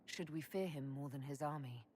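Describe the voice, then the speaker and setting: A woman's voice: deep voice, normal speed, darkish tone, a little hiss or hum, lightly acted. A woman playing a decisive, heroic young army leader in a video game, direct and earnest. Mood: concerned.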